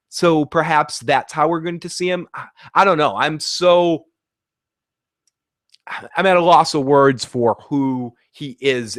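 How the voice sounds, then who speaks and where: A man's voice: mid-range voice, fairly bright tone, no echo, clean studio sound. A man with a Boston accent, sick with the sniffles, rambling through a casual TV episode recap with restarts and cursing. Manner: rambling, restarts and swears freely. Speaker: show recap host.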